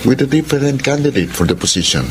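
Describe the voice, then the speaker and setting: A man's voice: medium pitch, expressive, quick pace, a little hiss or hum, close to an everyday voice. An actor playing a polite male recruiter who turns down an applicant in formal English. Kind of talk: Filipino radio drama acting.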